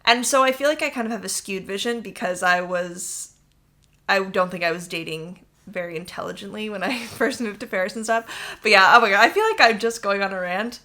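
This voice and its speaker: A woman's voice: mid-range voice, animated, fairly quick, sharp tone. A young woman hosting her own podcast, chatting casually and rambling in an upbeat way. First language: English. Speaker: podcast host. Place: warm apartment room.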